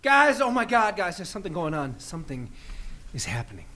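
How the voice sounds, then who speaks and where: An actor playing an alarmed, paranoid, excitable man in a vintage-style live radio drama, breathless and dramatic. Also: high voice, fairly quick, a little hiss or hum, heavily acted.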